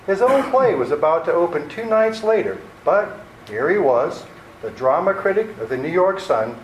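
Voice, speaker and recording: A man delivering scripted narration as the narrator of a radio theater show. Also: high-pitched. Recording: echoey room with faint noise.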